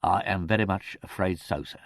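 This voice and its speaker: Audiobook manservant, played by a male reader: English, low-ish voice, formal, impassive, deferential, measured. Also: creaky, dry recording, clean studio sound. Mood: grave.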